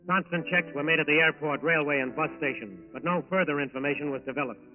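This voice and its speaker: A man delivering formal, dramatic scripted narration for a 1940s radio crime show. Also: fairly high pitch. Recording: muffled old radio broadcast recording.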